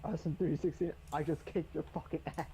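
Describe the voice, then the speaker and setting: A man's voice: fairly high pitch, steady tone, a little fast, dark tone, a little hiss or hum. An eighteen-year-old man chatting casually with fellow gamers, joking and swearing freely. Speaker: racer in a community race.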